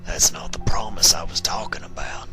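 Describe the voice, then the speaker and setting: Man doing a low, grizzled old outlaw stallion. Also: moderate pace, pushed and bright, in a whisper, soft background music. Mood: tender resolve. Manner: grave, folksy, tender.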